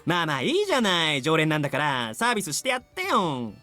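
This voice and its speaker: Cocky young man, visual novel game, bright forceful voice, very animated, theatrical. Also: on the high side, very fast, dry recording, soft background music, full character voice. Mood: cocky, playful. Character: brash, cheeky young male regular customer. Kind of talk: Japanese visual novel voice acting.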